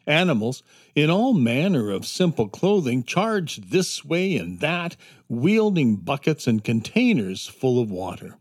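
A man narrating a scripted children's adventure story in a measured, dramatic storytelling manner. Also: mid-range voice, very animated, slightly rough, no echo, studio quality. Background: instrumental music.

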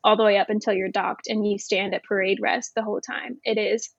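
A woman's voice: medium pitch, steady tone, fairly quick, creaky, husky, no room sound, dull audio. A woman speaking casually as an interview guest, explaining things in an informal way. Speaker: podcast guest, Navy veteran.

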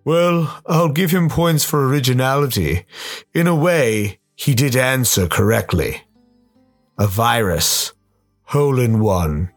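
A man playing a sly, towering demonic gardener in a radio play, courteously menacing, teasing and unhurried. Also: medium pitch, expressive. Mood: gloating. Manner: unhurried, teasing, mock-polite. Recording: music behind the voice.

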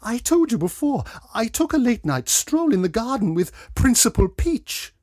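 A man's voice: very high voice, sing-song, moderate pace, a little mellow, dry recording, studio quality, pitched above the performer's own voice, exaggerated voice. A man voicing a defensive young lady who murmurs her protests in a mystery story.

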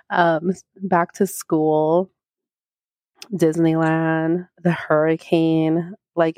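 A woman with a slightly low, scratchy voice talks through a casual solo podcast, rambling and chatty with plenty of fillers. She is alone in a quiet office with a small handheld mic, and the sound is close and dry with no room echo. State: scratchy voice from recovering illness. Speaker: podcast host. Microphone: small handheld microphone.

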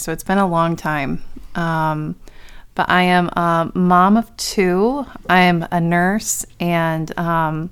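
A woman's voice: fairly low pitch, clear, resonant tone. A young woman co-hosting a podcast, chatting in a friendly, slightly hesitant way. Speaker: podcast co-host. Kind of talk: casual chat.

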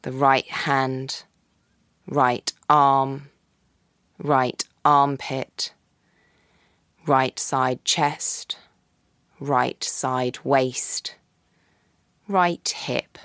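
A woman guiding a yoga nidra practice in a slow, soft, calm voice with long pauses. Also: rumbling low, no room sound, clean studio sound. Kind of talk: guided meditation.

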